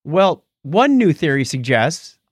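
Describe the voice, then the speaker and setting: A man's voice: fairly high pitch, very animated, slow, husky, no room sound, studio quality. A man co-hosting a podcast, explaining news items in a casual, jokey, conversational way. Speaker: podcast co-host.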